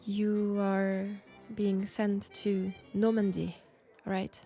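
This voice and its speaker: A woman performs a flirtatious, mysterious Frenchwoman from a Second World War roleplay. She has a French accent and takes her time, coy and conversational, pausing between thoughts. Soft music plays faintly behind her intimate delivery. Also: mid-range voice, monotone. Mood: curious, teasing. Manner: unhurried, coy, conversational with pauses. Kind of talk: intimate roleplay audio drama.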